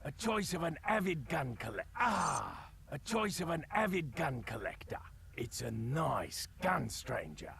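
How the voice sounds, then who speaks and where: An actor playing a sly, shady arms merchant in a horror video game, with a gravelly, hushed croak and drawn-out sales patter. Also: male voice, on the high side, very animated, a crowd in the background, heavily acted. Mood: sly, inviting. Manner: slow, drawn-out salesman's patter with sinister chuckles. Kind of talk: video game character acting. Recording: game audio under a player's commentary.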